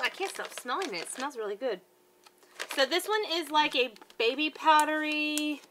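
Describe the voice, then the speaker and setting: Chatty bright-voiced woman reviewing products. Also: sits high, slight background noise. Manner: chatty, stumbles over words. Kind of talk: casual product review vlog. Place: living room at home. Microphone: webcam at close range.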